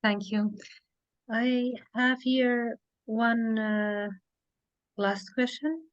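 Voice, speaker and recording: Slow, halting woman moderator. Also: fairly high pitch, no echo, muffled recording. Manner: slow, halting, many fillers. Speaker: session moderator. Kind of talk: reading audience questions.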